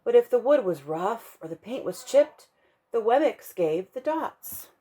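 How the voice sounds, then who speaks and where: A woman reading a children's bedtime story aloud for a church kids' ministry, expressive and slowing down to stress key moments. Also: on the high side.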